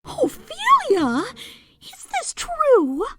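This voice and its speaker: A woman's voice: very high voice, huge pitch swings, extremely slow, background noise, heavily acted. A woman voicing a dramatic, genteel fashionista pony mother with an affected, theatrical manner. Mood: scandalised. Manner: theatrical, affected, easily scandalised. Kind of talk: animated fan audio drama.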